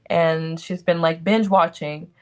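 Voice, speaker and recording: A young woman from Brazil speaks with a light Brazilian accent in a relaxed interview. Her voice sits a little low for a woman, and she talks in loose, rambling sentences full of "like" and "um", laughing easily between thoughts. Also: slow. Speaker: guest, actor. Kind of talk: casual interview chat.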